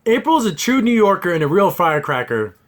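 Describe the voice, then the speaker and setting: A man's voice: sits high, sing-song, fast talker. A man hosting a casual review podcast about cartoons, talking in an exasperated, mocking way. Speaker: podcast host.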